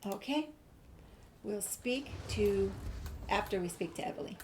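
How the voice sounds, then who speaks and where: Woman, medium pitch, casual gaming commentary, easygoing, reads on-screen text aloud. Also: animated, measured pace, darkish tone, a little hiss or hum. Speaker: gaming video creator. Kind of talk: casual game playthrough commentary, reading dialogue choices aloud.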